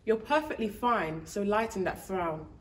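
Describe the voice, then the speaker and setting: A woman voicing a calm, reassuring angel messenger in a rhyming story, soothing and matter-of-fact. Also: mid-range voice, moderate pace, far from the mic, close to an everyday voice.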